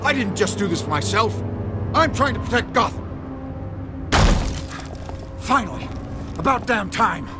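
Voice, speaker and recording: A man's voice: sits high, very animated, a little slow, long pauses, loud music behind the voice. A man playing a blustering, corrupt mayor in a video game, agitated, self-righteous and indignant. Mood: defensive, bitter. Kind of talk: dramatic video game acting.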